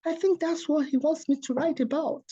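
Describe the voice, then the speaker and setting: Soft-spoken woman, mellow and lo-fi. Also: high-pitched, steady tone, a little fast. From Nigeria. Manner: soft-spoken, earnest, laughs often. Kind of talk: interview guest telling her story.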